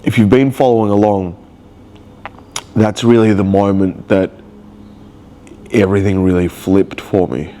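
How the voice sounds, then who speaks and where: A man's voice: lowish voice, fairly even intonation, a little slow, pauses often, dark tone. Stuffy-nosed man rambling emotionally. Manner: rambling, emotional, searching for words. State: nasal from a cold. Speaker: vlogger. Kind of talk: confessional video diary.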